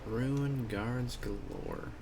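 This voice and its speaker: A man's voice: medium pitch, extremely slow, gravelly, noisy background. A young man giving casual gameplay commentary, slangy and muttering to himself as he plays. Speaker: gamer, video creator.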